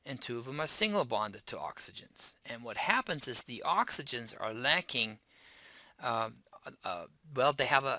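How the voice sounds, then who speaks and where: A man's voice: medium pitch, pushed and bright, dry recording. A man with a German accent giving a chemistry lecture, explaining step by step, spelling out word endings and restarting phrases as he goes. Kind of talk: classroom lecture.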